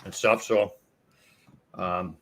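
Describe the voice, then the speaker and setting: Loud guy guest on a live show, bright forceful voice, rambles a bit. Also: low-pitched, fairly even intonation, extremely slow. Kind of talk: casual live-stream chat.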